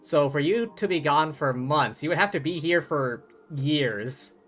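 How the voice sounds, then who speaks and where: A man with a slightly high-pitched voice gives casual live gameplay commentary as a streamer. He muses aloud in a jokey, offhand way, with faint game music in the background. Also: expressive, unhurried, fairly bright tone.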